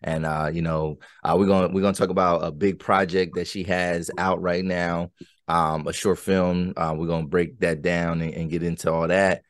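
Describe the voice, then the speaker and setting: A man's voice: low-pitched, little pitch movement, moderate pace, no room sound, clean studio sound. A man from central Pennsylvania hosting a casual interview podcast, talking in loose, rambling sentences full of fillers and restarts. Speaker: podcast host.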